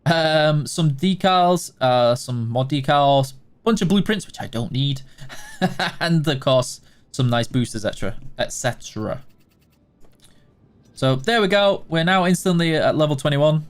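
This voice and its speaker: A man's voice: fairly high pitch, expressive, a little slow. A man with a Yorkshire accent, streaming a game and casually answering viewer questions in a chatty, self-deprecating way. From Yorkshire, England.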